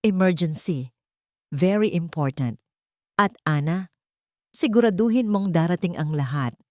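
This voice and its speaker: A woman voicing a composed heiress executive who speaks calmly, coldly and with command. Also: fairly low pitch, a little slow, dry recording, clean studio sound. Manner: calm, cold, commanding.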